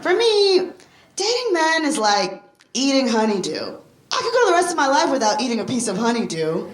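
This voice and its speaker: A woman's voice: high voice, big pitch swings, moderate pace, echoey room, a little hiss or hum. A woman playing a flippant, spendthrift young roommate who does amateur stand-up, with a wry, punchline-driven delivery in a comedy. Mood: wry, joking. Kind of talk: sitcom acting, observational stand-up delivery.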